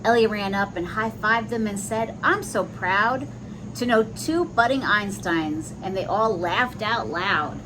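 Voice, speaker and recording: A woman reading a children's picture book aloud, pausing to explain the pictures in simple words for young listeners. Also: mid-range voice, background noise.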